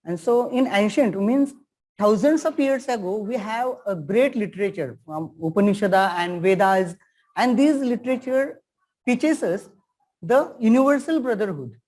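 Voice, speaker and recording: A man's voice: high voice, animated, moderate pace, a little mellow, poor audio. A man with an Indian accent hosting an international webinar as a teacher, speaking earnestly and formally and repeating phrases for emphasis over a video call.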